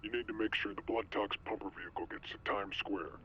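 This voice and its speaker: A man playing a stern, urgent insider giving a video game mission briefing in a measured, commanding way. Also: lowish voice, fairly even intonation, sharp tone. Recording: dull radio-like sound, faint noise.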